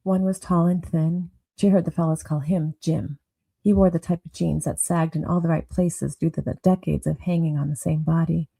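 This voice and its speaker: A woman narrating a noir audio drama with brooding, deliberate storytelling. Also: sits low, vocal fry, dark tone, no room sound, poor audio.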